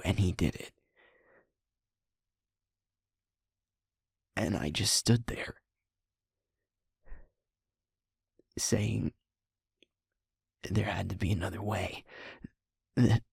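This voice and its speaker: A young man playing a sweet, guilt-ridden werewolf who is second in command of his pack, speaking in a breathy, halting, confessional way for an intimate audio roleplay. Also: on the low side, no room sound, clean studio sound, exaggerated voice. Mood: grave, regretful. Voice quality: breathy, husky, often falling to a whisper. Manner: halting, heavy pauses, confessional.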